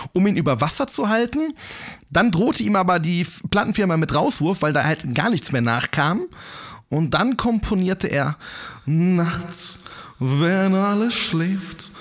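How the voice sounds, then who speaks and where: A man with a fairly high voice co-hosts a casual podcast. His intonation rises and falls a lot as he reads out trivia, teasing his partner along the way. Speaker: podcast co-host. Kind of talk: casual chat.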